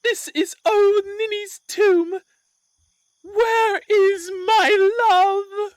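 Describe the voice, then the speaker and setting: A woman's voice: very high-pitched, fairly even intonation, very slow, no room sound, heavily acted. A woman playing an overwrought maiden mourning her sweetheart with melodramatic wailing in a Shakespearean audio play. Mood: searching.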